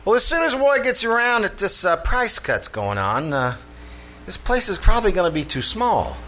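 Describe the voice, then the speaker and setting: A man's voice: high voice, sing-song, normal speed, a bit bright. An actor playing a scheming, blustering tavern manager who mangles his English in a folksy, pompous way, in old-time radio comedy style. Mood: eager, self-important. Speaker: troupe actor. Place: radio theater stage. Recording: live stage show, faint noise.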